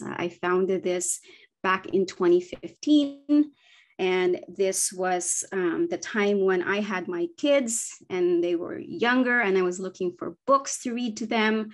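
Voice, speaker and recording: Woman from the Philippines, Bisaya native speaker, 40s, earnest, lots of ums, laptop webcam audio. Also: mid-range voice. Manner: earnest, thoughtful, with frequent ums and self-corrections. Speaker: children's book publisher. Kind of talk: presentation over video call. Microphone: laptop webcam on video call.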